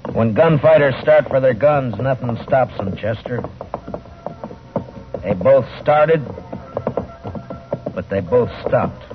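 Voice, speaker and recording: An American actor playing a stern, world-weary western marshal in terse, deliberate 1950s radio drama style. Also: male voice, medium pitch, natural voice. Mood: grave, steady. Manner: terse, hard-bitten, deliberate. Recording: tinny old radio broadcast with music.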